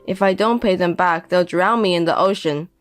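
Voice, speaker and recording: A woman voicing a 57-year-old indebted deadbeat father who pleads and pities himself, speaking slowly. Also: lowish voice, no room sound, soft background music, natural voice. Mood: pleading. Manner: pleading, self-pitying, slow.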